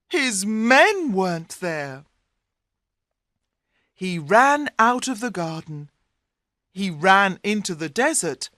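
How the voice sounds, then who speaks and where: A man reading a simple scripted story for English learners in deliberate, clearly separated phrases. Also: high-pitched, a bit bright, dry recording, studio quality.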